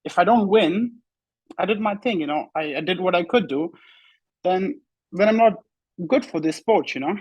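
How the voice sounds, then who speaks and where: A young man whose first language is Pashto, a bodybuilder telling his story in a relaxed interview and often saying "you know.". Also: sits high, moderate pace, pauses often, breathy edge, dry recording, low-quality recording. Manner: relaxed, repeats "you know" often, simple non-native phrasing. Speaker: bodybuilding competitor, guest. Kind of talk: casual interview talk.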